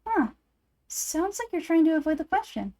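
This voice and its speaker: A woman's voice: very high voice, sing-song, normal speed, warm tone, voice pushed up, exaggerated voice. A woman voicing a small, childlike fairy companion from a video game, chirpy, curious and cheekily blunt. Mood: cheeky, curious. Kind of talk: game dialogue read aloud.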